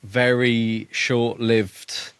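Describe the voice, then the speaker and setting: A man co-hosting a podcast, casually discussing a book in a relaxed way, asking questions and laughing easily. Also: medium pitch, drawn-out words, smooth, dry recording. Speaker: podcast co-host.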